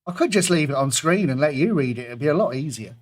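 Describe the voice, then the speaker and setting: A man's voice: fairly high pitch, lively intonation, quick pace. A man hosting an online pub quiz, reading out clues and adding jokey asides between them.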